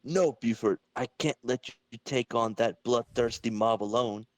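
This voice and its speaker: A man's voice: medium pitch, measured pace, no room sound, voice pushed down, natural voice. A man playing a loyal, determined superhero who makes earnest heroic promises in an amateur comic-book reading.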